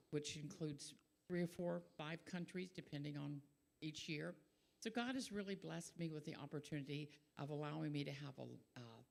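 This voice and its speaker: An older woman giving an earnest missionary testimony at church, steady with some fillers. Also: low voice, creaky. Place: church sanctuary.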